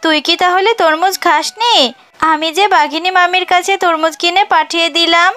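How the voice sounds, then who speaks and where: A woman voicing a caring mother jackal in a children's cartoon, affectionate and expressive. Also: very high-pitched, normal speed. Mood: gentle, concerned. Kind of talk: animated children's cartoon dub.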